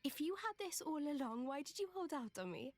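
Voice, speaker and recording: A woman's voice: high voice, expressive, a little fast. An actress voicing a heartfelt, stubborn young woman adventurer in a video game drama. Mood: sincere. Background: music.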